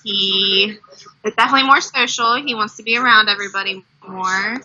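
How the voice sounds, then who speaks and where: A young American woman in her twenties chats through interview answers. Her voice is very bright and sharp, on slightly dull, lo-fi audio. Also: mid-range voice, steady tone, fairly quick, husky. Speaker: interviewee, family member. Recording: dull, lo-fi sound.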